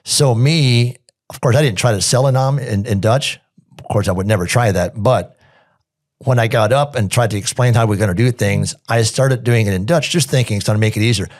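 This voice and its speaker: A man's voice: mid-range voice, fairly quick. A man reminiscing casually as a podcast guest, folksy and plainspoken.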